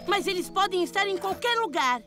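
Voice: extremely high pitch, animated, moderate pace. A performer voicing a playful, naively boastful young dirt bike in a children's cartoon, chirpy and exclamatory. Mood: curious, excited. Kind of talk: animated children's cartoon dub. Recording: music behind the voice.

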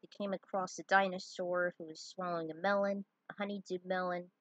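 A woman with a husky voice narrating a story and dropping in casual asides. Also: on the low side, level tone, a little fast, no echo, lo-fi sound. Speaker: fan fiction writer reading their own story.

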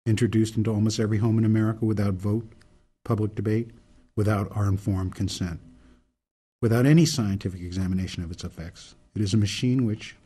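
An earnest older man played by a male actor makes a solemn speech in a radio play. His voice sits low, with a soft husky grain and a dark, mellow tone. He speaks deliberately, like a reformer stating a grave case. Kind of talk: radio drama monologue, oratorical.

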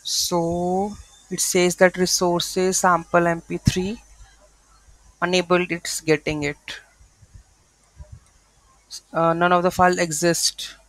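Male tutorial presenter, slow and halting, flat delivery, unscripted, close dry sound. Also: high voice, dry recording, rough recording. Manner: halting, thinking aloud. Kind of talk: unscripted screen-share coding walkthrough. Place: voice-over to a screen recording.

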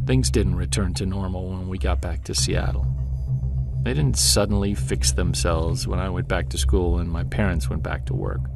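An actor as a low-voiced brooding monster hunter. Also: male voice, vocal fry. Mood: somber, reflective. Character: brooding male Bigfoot investigator, bitter and obsessive. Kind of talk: audio drama monologue and dialogue, confessional recorded journal.

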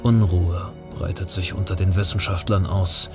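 A man's voice: low voice, fairly even intonation, normal speed, gravelly, over music. A man performing as the narrator of a grim thriller audio drama. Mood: grave, suspenseful.

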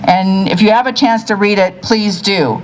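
A woman's voice: mid-range voice, normal speed, faint music behind, rough recording. A woman giving an earnest public speech at an outdoor podium microphone, urging the crowd to act. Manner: earnest, urging, with emphatic calls to action. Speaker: speaker at a pilgrimage rally. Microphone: podium mic, far camera.

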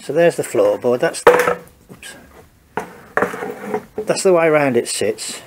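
A man's voice: fairly high pitch, lively intonation, slow pace, heavy background noise. A man with a head cold, casually talking through his car wiring work in a home garage, hesitant and thinking aloud. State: congested from a cold. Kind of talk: casual workshop walkthrough.

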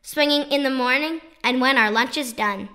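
A woman reads children's poems in a notably high voice with a bright, forward tone. Her delivery is playful and sing-song, leaning on key words with clear emphasis, as in a storybook read-aloud. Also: moderate pace. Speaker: children's poetry author and reader.

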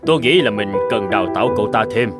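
Man playing a stern, deliberate company boss. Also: fairly low pitch, expressive, over loud music, natural voice. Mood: serious. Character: stern middle-aged male company director, measured and demanding. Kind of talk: cartoon dubbing.

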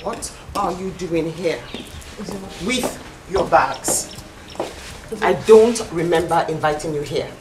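A woman's voice: on the low side, takes it slowly, music in the background. A woman playing a cold, indignant householder, stern and insistent, in a film drama. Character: cold, indignant woman turning away an unwelcome visitor.

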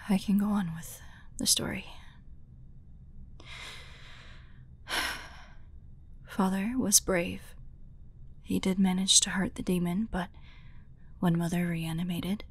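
A woman voicing a grieving, vengeful young demon hunter in an audio roleplay, soft and breathy, telling her story haltingly. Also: medium pitch, steady tone. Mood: shaken, halting. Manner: slow, halting, haunted storytelling. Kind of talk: dramatic audio roleplay monologue.

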